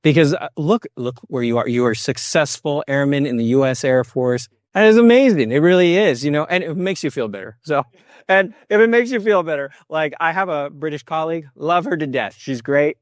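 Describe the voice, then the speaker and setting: A man's voice: a little high, animated, brisk, no echo. A middle-aged American man hosting a podcast interview, chatty and friendly, laughing often. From United States. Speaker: podcast host. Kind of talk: casual interview.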